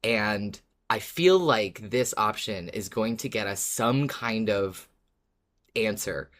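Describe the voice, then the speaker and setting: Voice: medium pitch, expressive, slow pace, a bit bright. A podcast co-host in a casual fan discussion, speaking in a playful, jokey way.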